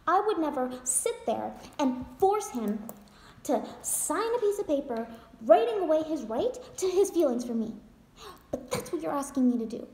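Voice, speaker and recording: Wounded, defiantly joking wife played by a woman: high voice, emphatic stage-monologue delivery, roomy echo. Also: very animated, normal speed, darkish tone. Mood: insistent, boastful. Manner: emphatic, theatrical, addressing her husband directly. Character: desperate, wounded wife fighting her divorce, defiantly joking. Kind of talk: dramatic theatrical monologue. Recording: echoey untreated room.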